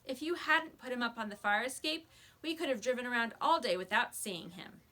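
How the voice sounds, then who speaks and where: A woman voicing a calm, practical father in a storybook, polite and matter-of-fact. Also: fairly high pitch, a little fast.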